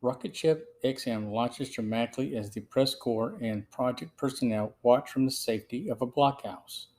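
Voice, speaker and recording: Man, podcast host, mid-pitched voice, scripted narration, measured and halting delivery. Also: darkish tone. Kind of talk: scripted narration, film plot summary.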